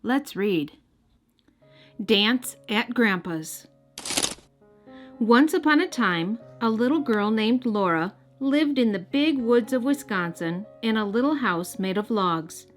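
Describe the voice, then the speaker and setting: A woman's voice: a little high, expressive, slow pace. A woman hosting and narrating a children's read-aloud, speaking expressively and directly to young listeners. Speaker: host and storybook reader. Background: music.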